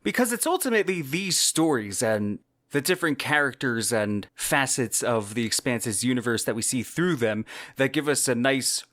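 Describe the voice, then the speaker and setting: A man's voice: medium pitch, a bit bright. A man hosting a podcast, delivering scripted solo commentary in a conversational, enthusiastic way. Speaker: podcast host.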